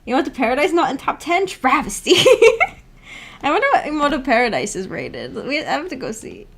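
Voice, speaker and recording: A woman's voice: high voice, sing-song, a little fast, gravelly, fairly bright tone. A woman hosting a casual livestream, reading out and answering viewer comments in a giggly, hesitant, self-effacing way. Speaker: livestream host.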